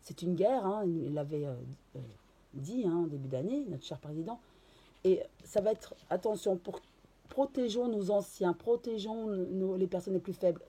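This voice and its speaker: Woman card reader, hoarse with a cold, dark husky tone, halting delivery. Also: medium pitch, animated. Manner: hesitant, many fillers and restarts. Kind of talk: casual tarot reading to camera.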